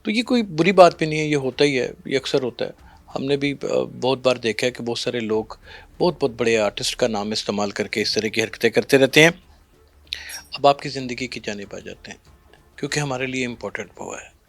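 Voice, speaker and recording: A man's voice: medium pitch, brisk. A middle-aged man with a Pakistani accent, hosting a conversational interview in a probing, teasing, dramatic way. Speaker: podcast host, interviewer.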